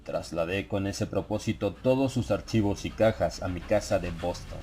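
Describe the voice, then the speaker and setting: A man reading a horror story as an audiobook narrator, in a measured, solemn, dramatized literary delivery. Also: low voice, warm tone, a little hiss or hum.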